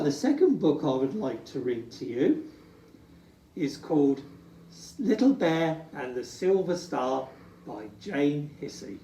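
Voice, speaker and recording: A storyteller reading a children's storybook aloud in an animated, deliberate, expressive way. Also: medium pitch, a little hiss or hum. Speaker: storytime reader. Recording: echoey room, distant from mic.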